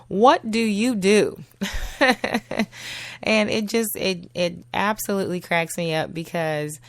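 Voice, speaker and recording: A woman hosting a casual solo talk show, her voice husky from a cold, chatty and laughing often. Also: deep voice, no echo. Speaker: podcast host.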